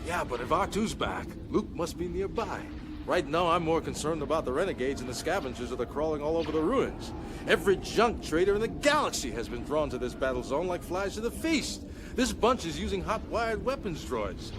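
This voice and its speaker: An actor playing a spirited, vigilant rebel adventurer in a sci-fi audio drama. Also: male voice, fairly high pitch, expressive, brisk, noisy background, close to an everyday voice. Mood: concerned.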